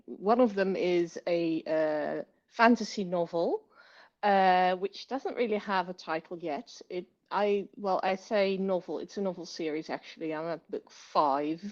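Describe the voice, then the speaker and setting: Woman, 30s, Dutch, British accent, slow, fatigued, hesitant, dull lo-fi audio. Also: medium pitch. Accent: British English learned as a second language. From Netherlands. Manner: measured, frequent um and uh, light laughs. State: low energy, fatigued. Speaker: guest author. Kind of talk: casual interview chat. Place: hospital bed.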